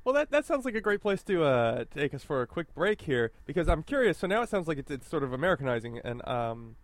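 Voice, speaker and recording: A man's voice: on the high side, sing-song, fast, no echo. A man co-hosting a casual podcast, asking questions and joking around, with frequent fillers. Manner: jokey, inquisitive, trails off into fillers. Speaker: podcast co-host.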